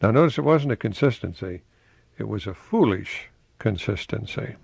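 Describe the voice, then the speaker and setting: An older man giving a reflective radio talk in a conversational, musing way, posing rhetorical questions and reading quotations slowly with emphasis. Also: on the low side, animated, creaky, a little mellow, slightly rough, no echo. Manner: slow, emphatic delivery of quotations. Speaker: radio host, professor.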